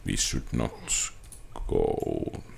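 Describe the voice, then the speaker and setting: A man's voice: very slow, darkish tone, slight background noise. A man giving casual gameplay commentary, musing and thinking aloud as he plays. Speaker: game streamer. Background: video game music.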